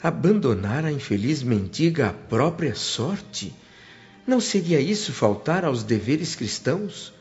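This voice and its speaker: A man's voice: medium pitch, sing-song, a little slow, a little mellow, dull audio. A man playing a thoughtful, shaken doctor who narrates a radio drama in a solemn, reflective way. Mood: troubled. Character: shaken, thoughtful male doctor narrating his spiritual journey. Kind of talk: dramatized first-person narration.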